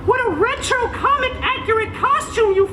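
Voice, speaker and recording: A man voicing a naive storybook girl in an exaggerated sing-song falsetto, in a comic fairy tale parody. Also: animated, normal speed, very noisy. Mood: mock amazed. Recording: roomy outdoor show PA, loud music and crowd.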